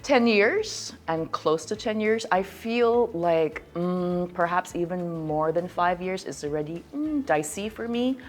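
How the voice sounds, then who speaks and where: A woman's voice: fairly low pitch, unhurried, hardly any pauses, soft background music. A young woman from Thailand talking casually and expressively as a guest on a discussion show. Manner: expressive, animated, laughs often. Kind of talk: casual conversation.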